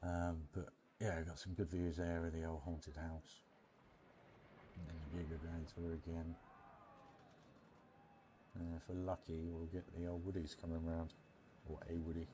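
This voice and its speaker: A man's voice: low-pitched, little pitch movement, normal speed, pauses often, noisy background. A British man hosting a casual game live stream, chatting in a chummy way and calling viewers mate and bud. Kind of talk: casual live stream chat over a theme park building game.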